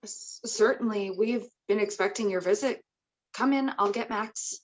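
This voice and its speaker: A woman's voice: mid-range voice, measured pace, close to an everyday voice, low-quality recording. A woman playing a polite, hospitable grieving mother in a table read of a drama script.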